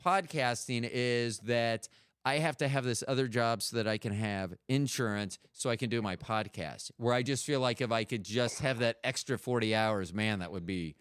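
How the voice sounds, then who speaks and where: Smooth-voiced middle-aged American man. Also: medium pitch, normal speed, a bit bright, no room sound, studio quality. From United States. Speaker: podcast host. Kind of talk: casual conversational interview.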